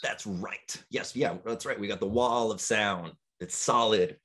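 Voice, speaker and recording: Slow, low-voiced male game master. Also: a bit bright, breathy edge. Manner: encouraging, hesitant with frequent ums. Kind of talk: running a tabletop game over voice chat.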